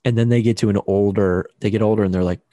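A man's voice: lowish voice, fairly even intonation, quick pace, dark tone, no room sound, studio quality. A man co-hosting a casual podcast, joking and playful, tossing in silly put-on accents. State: sleepy. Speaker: podcast co-host. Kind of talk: casual chat.

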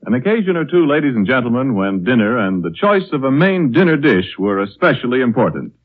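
A man's voice: medium pitch, big pitch swings, brisk. An American man announcing a sponsor's script in a polished, persuasive 1930s radio style. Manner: polished, persuasive sales delivery. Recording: tinny 1930s radio recording.

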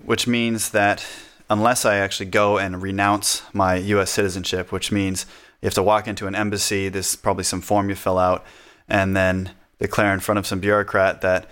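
A man with a very low voice talks in an easygoing, chatty way, often breaking into laughter. He pokes fun at himself as he explains things at a relaxed pace. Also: normal speed, smooth. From United States. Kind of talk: casual conversation.

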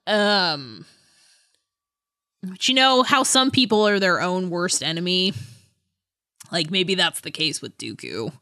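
A woman's voice: on the low side, lively intonation, takes it slowly, long pauses, pushed and bright, no echo, clean studio sound. A woman co-hosting a podcast, talking through a book casually in a thoughtful, hesitant way. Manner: hesitant, thoughtful, hedging delivery. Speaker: podcast co-host.

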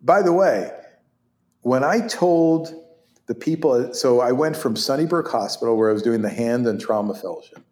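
A man's voice: mid-range voice, normal speed. Hebrew-speaking older man telling stories in an echoey room. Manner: animated, laughs often, many restarts. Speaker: interview guest, orthopedic surgeon. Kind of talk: conversational storytelling.

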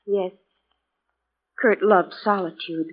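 A woman's voice: mid-range voice, steady tone, slow, breathy edge. An actress playing a worried, gracious woman, hesitant and earnest, in 1940s radio style. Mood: gracious, subdued. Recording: dull lo-fi 1940s radio recording.

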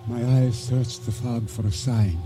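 An actor playing an uneasy, trusting boatman who tells his story in measured, formal radio drama narration. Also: medium pitch, steady tone, close to an everyday voice. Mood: solemn, suspenseful. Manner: measured, formal storytelling with simple dignified phrasing. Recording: radio drama with music underneath.

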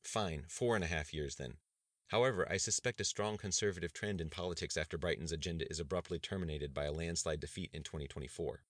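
A man reading a novel aloud, voicing a thirty-five-year-old male budget director who argues warmly and carefully. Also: deep voice, fast, no echo. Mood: persuasive. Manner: warm and persuasive. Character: polite young male budget director, measured and persuasive.

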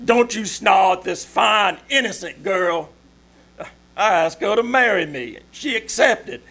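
A man's voice: sits high, animated, a little slow, vocal fry, bright, forceful tone, heavily acted. A man acting a pompous, gallant, easily outraged navy commander in an old-time radio comedy. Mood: indignant. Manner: pompous, blustery, declamatory.

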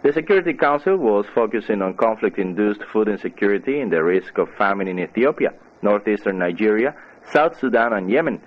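A man's voice: fairly low pitch, lively intonation, fairly quick, narrow, tinny audio. A man reading scripted news as a radio newsreader on a shortwave broadcast.

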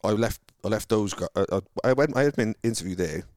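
A man from Wales with a Welsh accent, chatting casually about his sales career in a halting, rambling way, with many restarts. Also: lowish voice, animated, a little mellow, no room sound, clean studio sound. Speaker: car salesman guest. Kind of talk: casual conversation.

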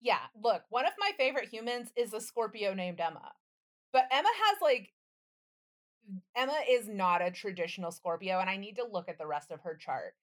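A woman's voice: mid-range voice, animated, moderate pace. A woman co-hosting a podcast, chatting in a casual, comedic way with a playful, slangy manner. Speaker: podcast host.

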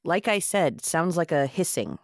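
A woman's voice: deep voice, big pitch swings, normal speed, no echo, studio quality. A woman reading the part of a jokey, insecure young man who covers his fear with wisecracks, in a podcast script table read. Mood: uneasy. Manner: wisecracking, defensive.

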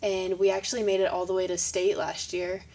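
A woman's voice: mid-range voice, level tone, a little fast, darkish tone, recorded from a distance, poor audio. A teenage girl from Kentucky answering interview questions in an upbeat, organized way. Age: teens. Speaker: student guest.

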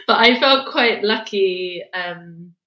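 A woman's voice: medium pitch, sharp tone. A young woman talking as a guest in a casual interview, reflective and meandering, a little self-deprecating. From England, near Luton. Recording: roomy echoey recording.

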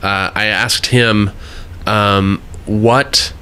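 A man in his thirties hosts a casual interview, talking in a friendly, chatty way with quick asides. His voice sits a little low but has a bright, forceful edge. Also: fairly even intonation, a little hiss or hum. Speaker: podcast host.

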